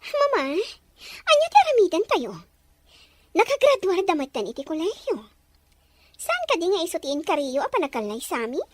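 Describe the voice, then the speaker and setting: A woman's voice: very high-pitched, sing-song, normal speed, long pauses, dry recording. A woman playing a jealous, spoiled rich young fiancée in a melodramatic audio drama, whiny and indignant. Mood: whining, jealous. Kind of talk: melodramatic radio-style drama acting.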